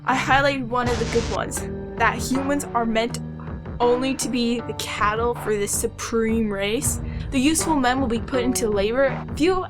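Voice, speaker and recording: A woman acts as a young boy genius fox from an audio drama. He is precise and a bit of a know-it-all, quick to get exasperated, with clearly audible music playing behind the voice. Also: fairly high pitch, close to an everyday voice. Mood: earnest explaining. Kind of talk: fan audio drama acting.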